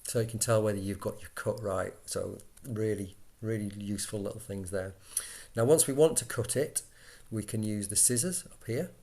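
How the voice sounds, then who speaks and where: Low, slightly creaky man's tutorial voice. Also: moderate pace, hardly any pauses. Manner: explains step by step, conversational. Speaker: software tutorial instructor. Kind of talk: informal screen-recorded software walkthrough.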